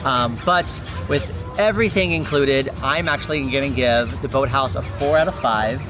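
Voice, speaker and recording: A man's voice: medium pitch, moderate pace, music in the background. A man hosting an unscripted review, chatting casually in a hesitant, meandering way outdoors. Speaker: vlog host. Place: outdoors at a shopping and dining complex.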